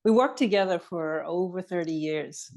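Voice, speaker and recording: A woman's voice: lowish voice, moderate pace, darkish tone. A woman from Hong Kong, a guest in a casual chat, speaking hesitantly with many fillers.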